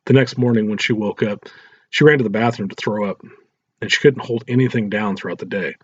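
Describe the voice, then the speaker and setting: Husky man reading a story aloud. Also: on the low side, fairly quick, dull audio. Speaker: story narrator. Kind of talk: scripted audiobook narration.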